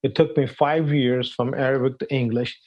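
An older man from Pakistan telling his life story as an interview guest, halting, with many fillers and non-native phrasing. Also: mid-range voice, steady tone, darkish tone. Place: at home, in a room. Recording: dull lo-fi sound.

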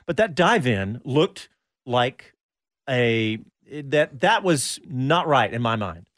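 A man's voice: medium pitch, big pitch swings, slow, a bit bright, dry recording, clean studio sound. A man hosting a casual motor racing talk show, chatting with his co-hosts and reading listener comments. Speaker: radio show host.

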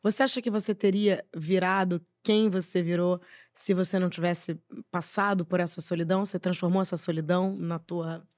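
A woman's voice: on the low side, fairly even intonation, brisk, a little mellow, no room sound. Woman host, interviewer, dry close sound. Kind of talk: casual interview.

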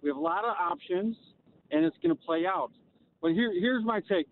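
A man's voice: high voice, big pitch swings, normal speed, creaky. A man calling a sports radio show on a dull, slightly noisy phone line, arguing his opinion casually and repeating phrases.

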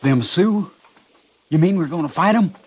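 An actor playing an anxious, deferential American cavalry soldier in a 1950s radio western, with a husky voice. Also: male voice, a little high, animated, moderate pace. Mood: restless, uneasy. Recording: dull 1950s radio recording.

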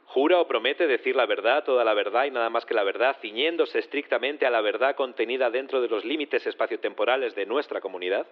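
A man acting as a solemn, procedural judge in a sci-fi courtroom audio drama, formal and measured. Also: mid-range voice, muffled recording, natural voice. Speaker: actor in audio fiction.

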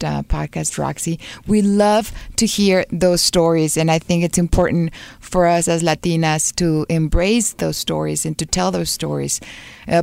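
A woman co-hosting a podcast in casual chat, mixing English and Spanish, encouraging and chatty with many pauses. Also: on the low side, vocal fry, darkish tone, smooth, no room sound. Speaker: podcast co-host.

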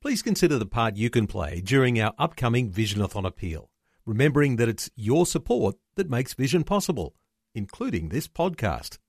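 A man's voice: medium pitch, sing-song, a little fast, slightly rough, no room sound, studio quality. Upbeat man reading an appeal. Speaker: head of a media ministry. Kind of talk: scripted appeal announcement.

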